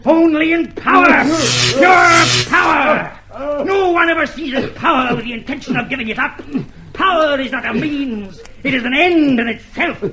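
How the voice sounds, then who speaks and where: An actor playing a cold, fanatical, domineering party torturer in a vintage radio drama, with theatrical, emphatic menace. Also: male voice, extremely high pitch, moderate pace. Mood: fanatical, exultant. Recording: noisy, humming old radio drama recording.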